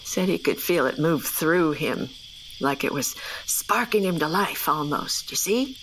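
An actress playing an elderly rural great-aunt, stern, worried and superstitious, speaking in a brusque, folksy, halting way in an audio drama. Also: female voice, low-pitched, expressive, slight background noise, low-quality recording. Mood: grave, troubled.

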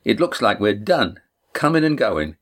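A man voicing a cheerful young male sailor who tells a tale with rough country grammar. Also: on the low side, moderate pace. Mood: lively telling. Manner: cheerful, lively storytelling.

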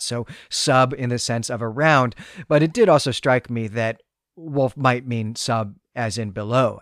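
A man's voice: mid-range voice, a bit bright, no echo, clean studio sound. A man hosting a podcast in a conversational, analytical way, thinking aloud as he recaps and discusses a story. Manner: analytical, thinking aloud with repeated hedging asides. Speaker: podcast host.

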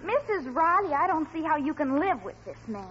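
A woman's voice: sits high, big pitch swings, brisk, a bit bright. An actress playing a prim, bossy, precocious twelve-year-old girl in a 1940s radio comedy, haughty and emphatic. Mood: self-assured. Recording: muffled, hissy old radio recording.

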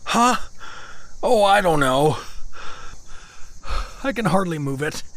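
A man's voice: sits high, expressive, takes it slowly, fairly bright tone, no room sound, full character voice. A man playing a friendly, out-of-shape middle-aged everyman with a hesitant, rambling, folksy manner in a comic fantasy audio drama. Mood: pained.

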